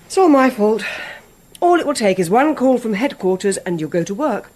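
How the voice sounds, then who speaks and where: An actress playing a devoted, fussing wife, affectionate and gently reproachful, in a radio drama. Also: female voice, a little high, lively intonation, normal speed. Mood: worried, reproachful. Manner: fretful, affectionate.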